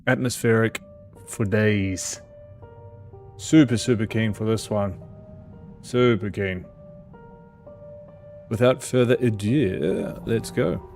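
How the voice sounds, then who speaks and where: A man's voice: fairly low pitch, slow pace, vocal fry, pauses often. A man hosting a gaming channel, doing let's play commentary and reading game text aloud. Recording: music loud behind the voice. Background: game music.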